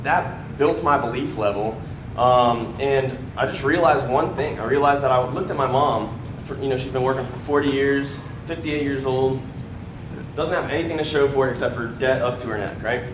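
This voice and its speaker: A man's voice: mid-range voice, moderate pace. Young American guy, casual stage testimonial, filler-heavy; roomy hall sound, audible background noise. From United States. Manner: casual, many fillers and "right?" tags. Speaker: speaker at a sales event. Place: large event room before an audience. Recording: echoey room with background noise.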